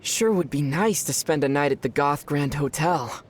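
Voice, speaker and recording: An actor playing a calm, dry young male traveler from other worlds in a video game. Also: on the high side, moderate pace, lightly acted. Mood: wry. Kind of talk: video game dub dialogue. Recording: game audio with soft music behind.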